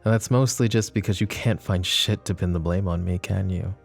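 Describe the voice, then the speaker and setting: A man voicing a smug, flirtatious mafia boss who teases and taunts the woman questioning him, in soft-spoken roleplay ASMR. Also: deep voice, fairly even intonation, fairly quick, gravelly, soft background music. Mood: smug teasing. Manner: teasing, taunting, calls her pet names.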